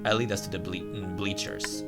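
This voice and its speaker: A man's voice: low voice, steady tone, normal speed, gravelly. A man recording a casual let's play of a visual novel, talking to viewers and reading text aloud. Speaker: video game streamer, host. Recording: loud background music. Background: loud game music.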